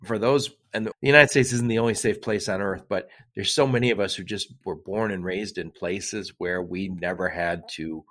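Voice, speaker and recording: A man's voice: deep voice, normal speed, few pauses, a bit bright. A man hosting a podcast, asking rambling conversational questions with false starts. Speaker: podcast host. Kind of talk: podcast interview.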